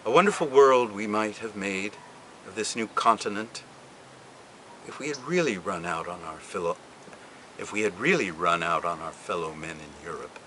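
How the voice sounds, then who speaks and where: Man giving an expressive literary reading. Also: fairly low pitch, slight background noise. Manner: expressive, deliberate delivery with dramatic pauses. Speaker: reader.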